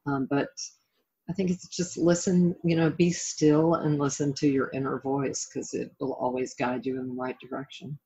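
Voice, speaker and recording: A woman's voice: deep voice, little pitch movement, normal speed, dark tone. A middle-aged woman chatting informally and reflectively as an interview guest. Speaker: interview guest, author and life coach. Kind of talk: casual interview chat. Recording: dull lo-fi call audio.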